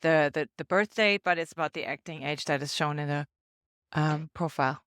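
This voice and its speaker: Deep-voiced woman explaining calmly. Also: normal speed, smooth, dry recording. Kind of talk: casual explanatory chat.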